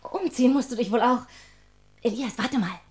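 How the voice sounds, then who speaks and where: A woman's voice: fairly high pitch, moderate pace, a little mellow, slightly rough. A woman playing a busy, practical mother in a radio drama, talking naturally in a motherly, instructive way. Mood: fussing. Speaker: actor in a radio play.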